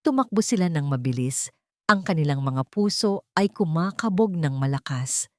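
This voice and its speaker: A female audiobook narrator tells a scripted dramatic story. Her voice sits fairly low for a woman, and the recording is dry, with very little room sound. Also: expressive, normal speed, no echo.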